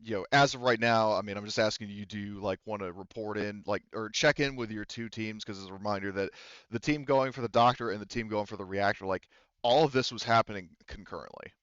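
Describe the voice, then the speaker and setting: A man's voice: lowish voice, brisk, fairly bright tone, clear, resonant tone, no room sound, lo-fi sound. A man running a tabletop roleplaying game as game master, explaining the situation conversationally.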